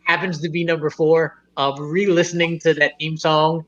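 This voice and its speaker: A man hosting a casual podcast, talking in an emotional, halting way. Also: fairly high pitch, little pitch movement, a bit bright. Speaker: podcast host. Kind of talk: casual chat. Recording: dull lo-fi sound.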